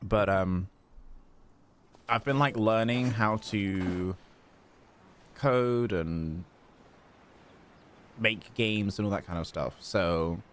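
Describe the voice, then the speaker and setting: A man's voice: on the low side, very slow, pauses often, a bit bright, a little hiss or hum. A man livestreaming a video game, chatting casually and distractedly to viewers between reactions to play. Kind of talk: casual chat while playing a video game.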